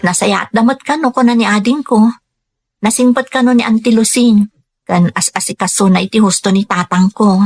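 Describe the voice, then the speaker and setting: Earnest young Filipino woman, slightly husky. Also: mid-range voice, steady tone, normal speed, darkish tone, faint music behind. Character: dutiful young woman working abroad, patient daughter. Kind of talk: Ilocano radio drama acting.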